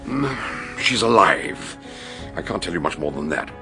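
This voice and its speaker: An actor playing an older detective in a radio mystery, genial yet grave, explaining things deliberately. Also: male voice, deep voice, sing-song, pushed and bright, soft background music, heavily acted. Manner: hesitant openings, deliberate explanatory delivery. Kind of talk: radio mystery drama acting.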